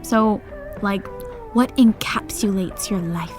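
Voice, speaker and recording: A woman's voice: medium pitch, slow pace, soft background music, close to an everyday voice. An actress playing a bubbly, shallow young woman who talks in valley-girl chatter. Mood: chatty.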